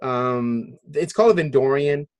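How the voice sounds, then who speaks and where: A man hosting a casual podcast discussion, halting as he thinks aloud. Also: medium pitch, darkish tone, no room sound. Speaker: podcast host.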